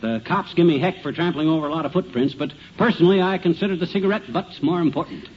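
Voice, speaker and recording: An actor with an American accent playing a cocky, dim-witted amateur detective in a folksy, word-mangling 1950s radio comedy style. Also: male voice, on the high side, fast talker, natural voice. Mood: self-important. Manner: folksy, bumbling, self-important, mangles words. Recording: dull, noisy old radio recording.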